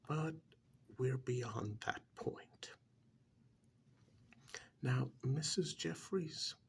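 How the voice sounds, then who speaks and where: A man playing a calm, probing couples therapist in a soft-spoken ASMR role-play, measured and deliberate with a breathy voice, close to the microphone. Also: medium pitch, a little hiss or hum. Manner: measured, deliberate, pausing between short phrases.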